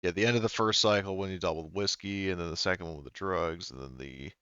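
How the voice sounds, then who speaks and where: A man with a very low, smooth, resonant voice runs a tabletop game, rambling through his notes with plenty of fillers and restarts. The sound is close and dry over a home computer mic on a voice call. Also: fast, bright, forceful tone, no room sound, lo-fi sound. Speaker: game master.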